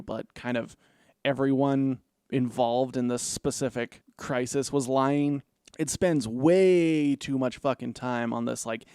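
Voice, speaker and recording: A man's voice: mid-range voice, a little mellow, clear, resonant tone, no room sound, studio quality. Laid-back, swearing man reviewing a film. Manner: rambling, profane, laid-back with frequent "like" fillers. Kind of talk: casual spoken film review.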